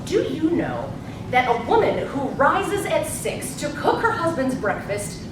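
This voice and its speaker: A woman's voice: sits high, big pitch swings, unhurried. A woman playing a stirring, resolute suffragist orator giving a rally speech in a staged play. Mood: rousing, resolute. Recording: echoey hall from far off, noisy ambience.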